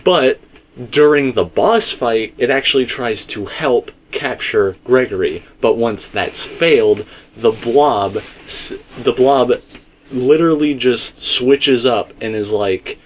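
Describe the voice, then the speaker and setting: A man's voice: mid-range voice, takes it slowly, a little hiss or hum. A man in a casual theory discussion, explaining his points emphatically and circling back to them. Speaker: video game theorist.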